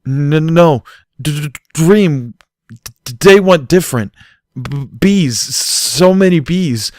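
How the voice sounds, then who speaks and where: A man's voice: a little high, animated, slow, darkish tone, no room sound, studio quality, voice pushed up. A man voicing a panicked male storybook pony who stammers heavily after a nightmare. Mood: terrified. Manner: heavy stammer on first sounds.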